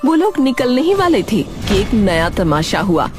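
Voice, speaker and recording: A woman reading expressive scripted narration for a cartoon story. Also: medium pitch, measured pace, music in the background.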